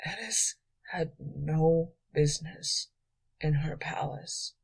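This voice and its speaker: A woman voicing an exhausted, wounded young male thief who speaks in a faint, slow whisper. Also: low voice, fairly even intonation, breathy edge, full character voice, rough recording. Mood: tired, quiet.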